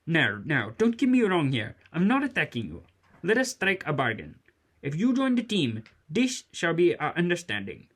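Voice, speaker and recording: An actor playing a kindly, earnest old mentor in a family audio drama, speaking in formal, deliberate phrases. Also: medium pitch, huge pitch swings, a bit bright, slightly rough, heavily acted. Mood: earnest, resolved.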